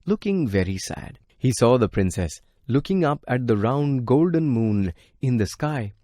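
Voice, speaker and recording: A man's voice: mid-range voice, expressive, measured pace, mellow, no room sound, studio quality. A man reading a scripted bedtime story for children as its narrator.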